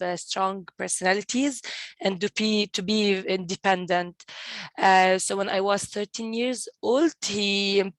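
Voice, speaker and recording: A woman's voice: medium pitch, no room sound. A young woman in her twenties from East Jerusalem, speaking English with a Palestinian Arabic accent and telling her story earnestly, often restarting and repeating words on a video call. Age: 20s. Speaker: guest, community manager at a peace group. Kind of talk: personal storytelling in a panel talk.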